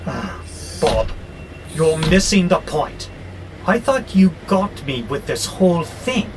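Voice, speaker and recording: An actor playing an irritable, rebellious freight robot in an audio drama, with exasperated, sardonic, theatrical grumbling. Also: male voice, fairly high pitch, takes it slowly, full character voice. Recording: clear steady background noise, vehicle-like hum.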